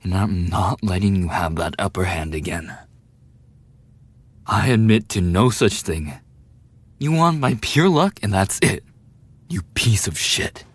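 A man's voice: sits low, expressive, a little slow, long pauses, slightly rough, no echo, full character voice. A man playing a brash, defensive young male bully with a desperate side, swearing and taunting in an audio roleplay. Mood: defensive, angry. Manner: foul-mouthed, taunting, defensive talk to the listener. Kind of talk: boyfriend ASMR audio roleplay acting.

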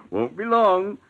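An actor playing a sly, jovial gardener in a 1940s radio drama, folksy and joking. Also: male voice, mid-range voice, fairly bright tone, lo-fi sound, heavily acted. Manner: folksy, joking dialect speech. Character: sly rustic male gardener, jovial yet menacing.

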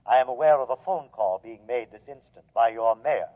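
A man plays an alien humanoid of cool, formal menace in a vintage radio drama. He speaks with unhurried, emotionless courtesy at a middling pitch, through a dull old radio recording. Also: natural voice. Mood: cold, calm. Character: cold, polite alien humanoid machine, calmly menacing.

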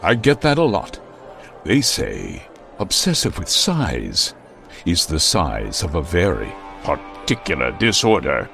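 An actor playing a grandiose, vain, aging masked vigilante hero in old-time radio style, pompous and melodramatic. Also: male voice, sits low, huge pitch swings, slow pace, gravelly, a bit bright, faint music behind, exaggerated voice. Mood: menacing.

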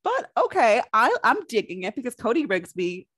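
A woman's voice: fairly high pitch, normal speed, husky, dry recording, studio quality. A woman co-hosting a podcast, chatting casually and telling stories with some swearing. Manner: chatty, swears, animated storytelling. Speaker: podcast co-host.

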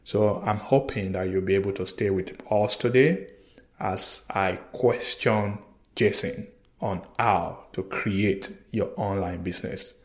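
A man's voice: lowish voice, a little slow. A man hosting a podcast as a life coach, enthusiastic and earnest, with some hesitations. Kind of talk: upbeat podcast interview. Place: lives in Houston. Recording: roomy echo.

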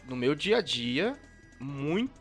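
A man's voice: fairly high pitch, sing-song, takes it slowly. A man co-hosting a casual podcast, chatty and agreeable. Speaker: podcast co-host. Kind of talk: casual chat. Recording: faint music behind.

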